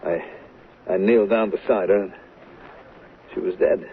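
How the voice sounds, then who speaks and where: Actor as a low-voiced, halting murder suspect. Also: male voice, fairly even intonation, natural voice. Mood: halting, subdued. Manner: halting, hesitant, stammering. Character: evasive working-class husband suspected of murdering his wife. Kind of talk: 1970s radio soap acting. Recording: muffled, noisy old radio broadcast.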